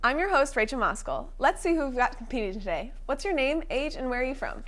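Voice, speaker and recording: A young woman with a slightly high voice hosts a student-made quiz show in a college TV studio. She talks in an upbeat way but stumbles now and then, with ums, uhs and restarts as she explains the rules. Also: very animated, quick pace, a bit bright. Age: young adult. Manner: upbeat, with frequent fillers and restarts. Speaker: game show host.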